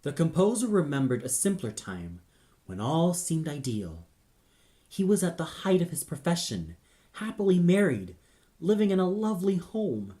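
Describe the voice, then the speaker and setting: A man's voice: fairly high pitch, very animated, normal speed, mellow. A man narrating an audio play in a solemn, theatrical, scripted style.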